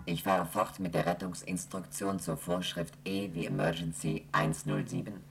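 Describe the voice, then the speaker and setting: A woman voicing a station computer that reads out emergency rules in a flat, mechanical monotone. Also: rumbling low, moderate pace, vocal fry, over loud music. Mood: dictating. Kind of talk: 1970s radio play acting.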